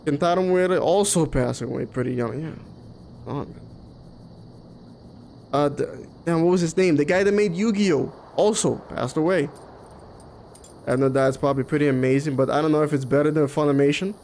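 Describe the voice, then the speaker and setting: Higher-pitched Caribbean streamer guy, noisy recording. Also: moderate pace, pauses often, darkish tone. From Curaçao, in the Caribbean. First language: Papiamento. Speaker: video game streamer. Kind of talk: casual live stream chat over gameplay. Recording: clearly noisy background.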